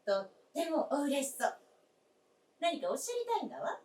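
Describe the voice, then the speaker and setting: Echoey distant stage sound, polite young wife, very high voice, attentive, genteel, radio drama. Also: female voice, sing-song, fairly quick, darkish tone. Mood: solicitous. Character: polite, attentive young wife caring for her father-in-law.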